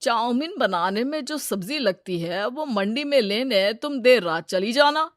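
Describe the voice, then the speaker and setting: A woman's voice: on the high side, lively intonation, a little slow, no room sound, clean studio sound. A woman voicing a greedy, scheming male village moneylender in a cartoon story, pushy and exclamatory. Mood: gloating, scheming.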